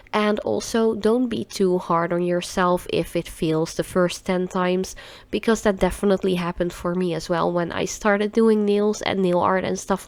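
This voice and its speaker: A woman's voice: mid-range voice, no echo. A Dutch woman in her thirties with a stuffy, nasal voice from a cold, chatting casually through a nail art tutorial in a rambling way. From Netherlands. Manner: rambling, chatty, laughs often.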